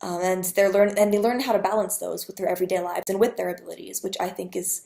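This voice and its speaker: A woman's voice: lowish voice, level tone, quick pace, creaky, mellow. A woman giving an earnest personal testimonial as a fan. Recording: echoey room.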